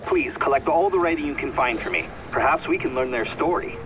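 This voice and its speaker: An actor playing an earnest, compassionate companion with measured speech in a video game cutscene. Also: male voice, mid-range voice, background noise, lightly acted. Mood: concerned. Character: earnest, compassionate male companion, troubled and morally indignant.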